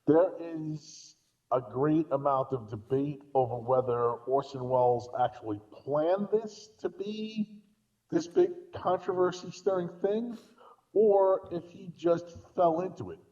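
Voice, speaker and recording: Guy talking casually on a podcast, soft mellow tone, rambles and restarts, kinda muffled lo-fi sound. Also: a little high, unhurried. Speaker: podcast guest co-commentator. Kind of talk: casual discussion.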